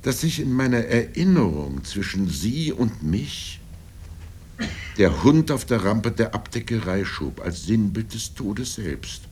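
An older man reading literary first-person prose in a grave, deliberate manner with dramatic pauses. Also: very animated, darkish tone. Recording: low rumble and background noise.

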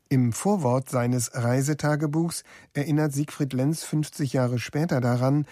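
A man's voice: mid-range voice, mellow, no echo, studio quality. A man narrating a scripted radio documentary in a factual, composed manner.

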